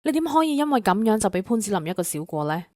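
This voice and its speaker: Actress as indignant teacher with swooping pitch. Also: female voice, very animated, quick pace, warm tone, dry recording, clean studio sound, natural voice. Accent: Hong Kong Cantonese. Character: indignant female teacher standing up for a student. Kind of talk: audio drama acting.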